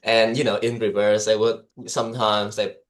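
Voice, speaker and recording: A man's voice: on the low side, flat delivery, moderate pace. A man with a Vietnamese accent, co-hosting a casual discussion, talking loosely with many fillers and small laughs.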